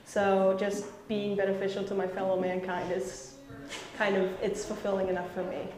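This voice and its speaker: A woman's voice: mid-range voice, level tone, normal speed, echoey room, rough recording. A woman who leads a volunteer relief team, speaking earnestly in an interview. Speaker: FEMA Corps team leader. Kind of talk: on-site interview.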